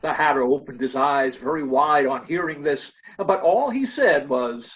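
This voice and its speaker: A man's voice: a little high, expressive, moderate pace. A man reading scripted storybook narration as the narrator. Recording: dull lo-fi sound.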